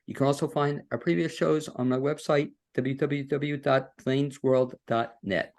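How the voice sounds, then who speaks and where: A man hosts an interview podcast over a video call, his voice gravelly with a mid-range pitch. He talks in a folksy, rambling way, circling back on his own words. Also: fairly even intonation, moderate pace, a little mellow. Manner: folksy, rambling with fillers and restarts. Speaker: podcast host. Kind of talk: casual interview hosting. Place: home studio.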